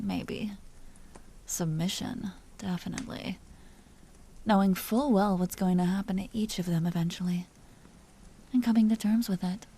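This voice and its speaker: A woman playing an elegant vampire duchess in an audio roleplay, speaking softly with formal, languid, teasing courtesy and an amused, predatory air. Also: mid-range voice, gravelly, husky. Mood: appraising, intrigued. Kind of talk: dramatic roleplay audio performance.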